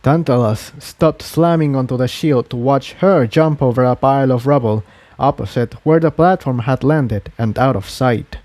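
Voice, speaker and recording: A man's voice: medium pitch, moderate pace, a little mellow, dry recording. A man reading an adventure story aloud as a scripted dramatic narration. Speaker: fan fiction reader and narrator.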